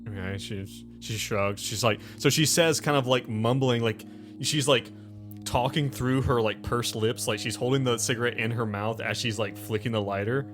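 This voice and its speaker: A man's voice: medium pitch, moderate pace, music in the background. A man as game master, improvising casual narration of a scene at a tabletop game.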